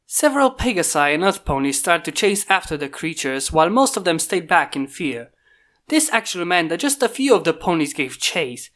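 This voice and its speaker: A medium-pitched narrator reads a fan fiction story aloud. The delivery is scripted storytelling, moving through descriptive prose as in an amateur audiobook. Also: very animated, moderate pace, a bit bright.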